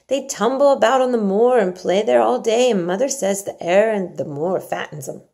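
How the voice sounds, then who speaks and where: A woman's voice: on the high side, mellow, voice pushed up. A woman voicing a good-natured young Yorkshire housemaid in a storybook, homely and talkative, with a Yorkshire accent. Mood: chatty, good-tempered. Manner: rattling on, homely and familiar.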